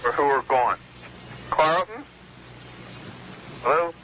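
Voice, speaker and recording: A man's voice: fairly high pitch, expressive, slow, pushed and bright. A man chatting casually as a caller to a radio phone-in contest. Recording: noisy phone call on radio.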